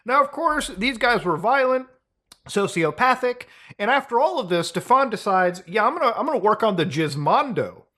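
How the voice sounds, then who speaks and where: A young man hosting a casual video commentary, sarcastic and excitable, with crude slang and stumbling repeats. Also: high voice, moderate pace. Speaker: YouTube host.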